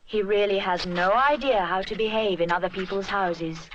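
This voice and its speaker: A woman narrating a scripted children's story in an expressive storytelling style. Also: medium pitch, normal speed, muffled recording, background noise.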